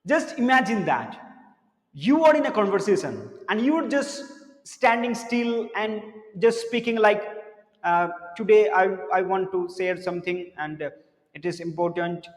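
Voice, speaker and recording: A man with a high voice gives an earnest lesson on speaking to camera. He often repeats words and drops his voice low for serious points. The room is echoey with a long reverb. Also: lively intonation, normal speed. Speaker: spoken English teacher. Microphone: camera mic, speaking to camera.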